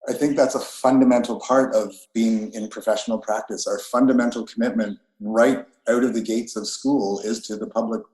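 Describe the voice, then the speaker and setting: A man, an architect and co-founder of a design firm, answering interview questions in an earnest, deliberate way. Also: on the low side, fairly even intonation, darkish tone. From Canada. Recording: roomy echo.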